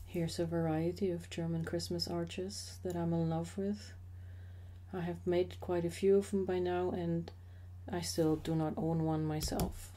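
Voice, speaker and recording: A middle-aged woman narrating a slideshow about her woodworking hobby in a measured, explanatory way with occasional fillers. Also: on the low side, flat delivery, warm tone. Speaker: associate professor sharing a hobby.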